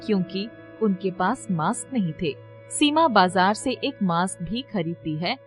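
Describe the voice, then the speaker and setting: A woman's voice: mid-range voice, animated, unhurried, faint music behind. A woman storyteller reading a scripted children's story in narration style.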